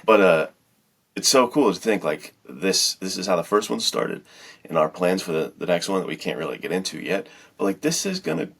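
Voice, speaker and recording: A man's voice: low voice, big pitch swings, fairly quick, creaky, fairly bright tone, husky. A man co-hosting a podcast in casual chat, rambling along informally and with enthusiasm. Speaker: podcast co-host.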